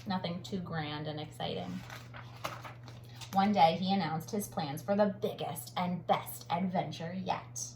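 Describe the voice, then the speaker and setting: A woman reading a children's picture book aloud like a teacher, dramatic on sound words and pausing to comment. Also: on the low side, expressive, darkish tone. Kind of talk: expressive children's read-aloud with explanatory asides. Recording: roomy echo, faint background noise.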